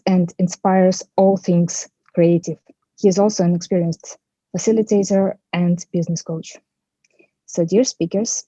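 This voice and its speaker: A woman's voice: fairly low pitch, mellow, dry recording. Formal woman moderator on a dull video call. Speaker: panel moderator. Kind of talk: reading speaker introductions.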